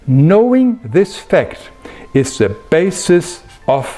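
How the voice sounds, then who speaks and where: A man delivers a spiritual lesson in a fairly high voice that rises and falls expressively. He is earnest and emphatic, setting out his points in measured phrases. Soft music accompanies him. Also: big pitch swings. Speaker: spiritual teacher. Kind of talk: explanatory spiritual talk.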